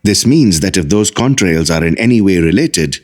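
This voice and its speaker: An actor playing a serious, welcoming doctor who acts as a mentor in an audio drama. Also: male voice, low-pitched, expressive, moderate pace, darkish tone. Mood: serious, encouraging.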